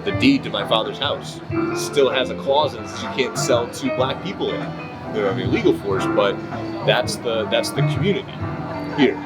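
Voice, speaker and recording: A man from upstate New York answering interview questions in a thoughtful, measured way. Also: mid-range voice, lively intonation, over loud music, rough recording. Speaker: bookstore collective member.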